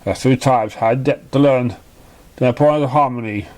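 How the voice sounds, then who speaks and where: A man reading storybook narration aloud as narrator, somewhat halting, with small stumbles. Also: medium pitch, a little mellow. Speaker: narrator of a fan fiction story.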